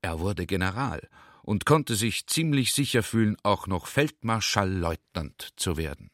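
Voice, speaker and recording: A man's voice: low-pitched, expressive, a little slow, fairly bright tone. A man narrating a literary radio drama in standard speech.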